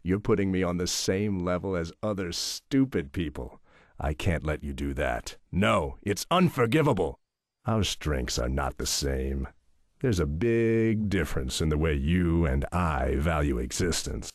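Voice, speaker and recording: An actor playing a calm, pompous villain who poses as a self-help coach in a game dub, measured and condescending in manner. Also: male voice, sits low, no room sound, clean studio sound. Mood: cold, condescending. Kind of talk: scripted anime game dub acting. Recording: loud music behind the voice.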